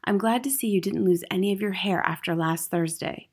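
A woman voicing a gentle female schoolteacher in a storybook, calm, polite and measured. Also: mid-range voice, breathy edge. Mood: calm, advising. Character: gentle, reasonable young female primary school teacher.

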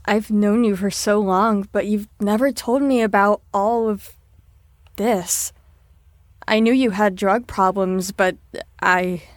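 Woman acting as a caring female clinic staffer in an audio drama, sincere and reassuring, mid pitch, super dry recording. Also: steady tone, slow pace, no echo, close to an everyday voice. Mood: sympathetic. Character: earnest, caring care-facility staffer, gently encouraging.

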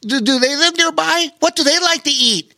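Guy doing a squeaky little storybook kid, eager, stammers out questions, bright sharp tone. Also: fairly quick, gravelly, heavily acted. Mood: curious. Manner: excited questions, stammering starts.

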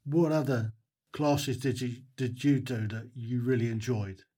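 A man interviewing casually, asking questions in a halting, hesitant way that tends to trail off. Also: medium pitch, warm tone, breathy edge.

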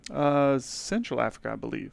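A man's voice: medium pitch, expressive, moderate pace, gravelly, no echo. A man chatting casually as a guest, easygoing and agreeable, often laughing along. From United States. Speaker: guest, strength coach. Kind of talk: casual chat.

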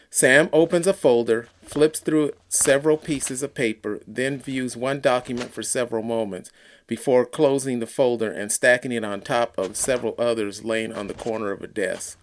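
A man narrating an audiobook in a deliberate read-aloud, occasionally stumbling over words. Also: medium pitch, a little hiss or hum. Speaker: audiobook reader.

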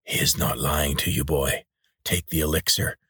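A man voicing a blind, menacing male warrior leader with a rasping voice. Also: extremely low pitch, steady tone, moderate pace, bright, forceful tone, dry recording, clean studio sound, full character voice. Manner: cold, threatening.

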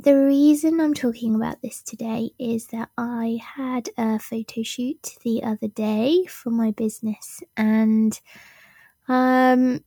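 A woman hosts her own podcast in a relaxed, slow way, laughing at herself between ums. Her voice has gone husky and deep for now, with a mellow, dark tone. The room is dry and close. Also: no room sound. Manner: self-deprecating, many ums, laughs at herself. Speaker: podcast host. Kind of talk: casual solo podcast talk.

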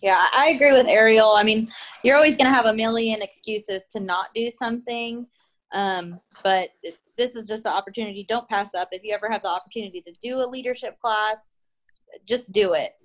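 A woman's voice: fairly high pitch, fairly quick. A woman guest speaking in a direct, encouraging way. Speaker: guest employee.

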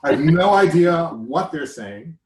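A man's voice: a little high, expressive, a little slow, darkish tone, echoey room. A man with an American accent, hosting a casual chat in a joking, rambling way that often interrupts itself. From United States. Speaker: podcast host.

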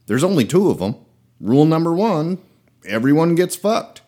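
A man's voice: on the high side, very animated, normal speed, mellow. A man playing a crude, smarmy mob ringleader in a comedy sketch, casual, mock-polite and argumentative. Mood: smug, mock-polite.

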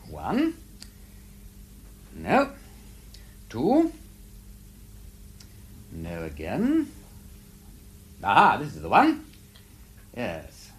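An aristocratic English gentleman detective in a radio drama. His upper-class voice swoops dramatically up and down, full of playful exclamations, and he is witty, keen and theatrical. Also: medium pitch, husky, heavily acted. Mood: eager, absorbed.

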